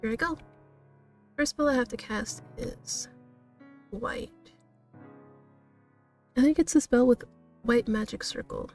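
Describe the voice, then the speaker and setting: Female game streamer, higher voice, giggly and self-deprecating, casual read-aloud, music underneath. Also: slow, long pauses, darkish tone, distant mic. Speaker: streamer reading a visual novel aloud. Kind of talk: casual playthrough commentary and read-aloud narration.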